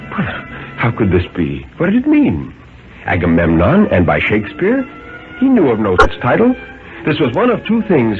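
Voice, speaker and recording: A man narrating a story as a 1950s radio dramatic reading, in a theatrical, measured, suspenseful manner. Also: on the low side, narrow, tinny audio. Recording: thin, band-limited 1950s radio recording with music.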